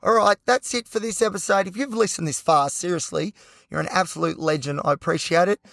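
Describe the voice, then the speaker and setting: An Australian man hosting a solo podcast with a hoarse, croaky voice, talking passionately and rambling with lots of fillers. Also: sits high, brisk, fairly bright tone, no echo. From Newcastle, New South Wales, Australia. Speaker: podcast host.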